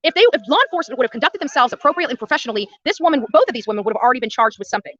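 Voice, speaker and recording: A blunt American woman streams as a protest host, talking very rapidly in a fairly high, forceful voice. She is combative and hammers phrases by repeating them. The audio is a little dull and lo-fi. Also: animated. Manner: blunt, combative, repetitive for emphasis. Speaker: livestream host and protest activist. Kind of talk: livestream rant to viewers.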